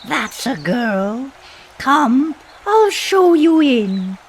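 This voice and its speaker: A kindly old woman played by an actress in a fairytale audio play. She speaks very slowly in a high, lively voice, fussing and insisting in a folksy, old-fashioned way. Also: full character voice. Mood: jolly, insistent. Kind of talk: amateur audio play acting. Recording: faint background noise.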